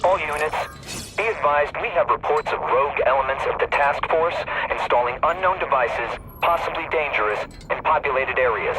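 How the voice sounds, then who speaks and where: Police dispatcher on noisy radio. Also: male voice, fairly high pitch, lively intonation, moderate pace. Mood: urgent, official. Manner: formal, clipped announcing. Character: male police dispatcher, official, urgent, controlled. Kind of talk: radio dispatch in a video game.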